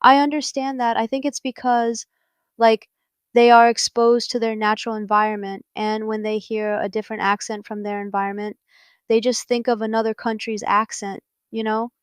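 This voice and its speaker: A woman's voice: fairly high pitch, little pitch movement, normal speed, smooth, no room sound. A young woman from Japan, Japanese her first language, speaking English with a mixed American and British accent as a podcast host, chatty with many fillers. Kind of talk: casual solo podcast talk.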